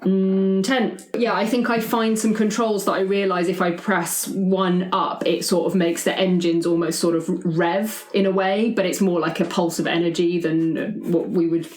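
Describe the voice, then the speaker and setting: A woman's voice: fairly low pitch, fairly even intonation, normal speed, few pauses, a little mellow. A woman talking casually as a player in a tabletop game, describing actions in a conversational, agreeable way. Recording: roomy, echoey sound.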